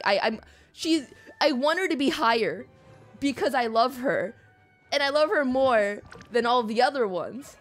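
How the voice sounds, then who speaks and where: Giggly, high-voiced woman livestreaming. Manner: giggly, playful, expressive. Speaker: streamer, host. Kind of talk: casual livestream chat about a video game. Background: music.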